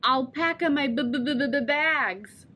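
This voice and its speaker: A woman's voice: sits high, pushed and bright. Woman reading as a stuttering young boy. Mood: joking. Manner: stuttering, slow and drawn out.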